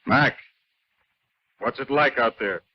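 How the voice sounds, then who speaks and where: Cautious spaceship commander on muffled old radio. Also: male voice, medium pitch, slow pace, no room sound. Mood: urgent concern. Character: concerned, cautious spaceship commander, adult man. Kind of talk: 1950s radio drama acting. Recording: muffled, dry vintage radio recording.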